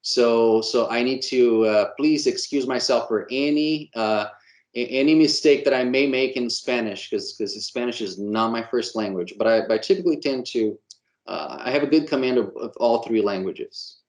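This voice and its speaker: A man's voice: mid-range voice, normal speed, reverberant. A man from Brazil whose first language is Portuguese, an insurance agent answering interview questions with many fillers and restarts, speaking with a Brazilian Portuguese accent.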